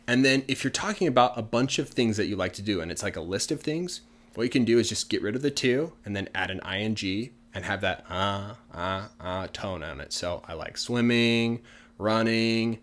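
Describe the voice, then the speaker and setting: A man, teaching a casual video lesson and slowing down to model example phrases. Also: fairly low pitch, fairly bright tone. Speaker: English teacher. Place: living room with gray sofa, indoors. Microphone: large studio mic on arm in front.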